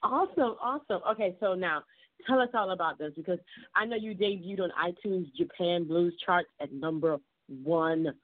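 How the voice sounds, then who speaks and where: A woman's voice: fairly low pitch, moderate pace. A woman hosting an interview, enthusiastic, repeating words for emphasis. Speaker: interview host. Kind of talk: interview conversation. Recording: tinny phone-like audio, dry.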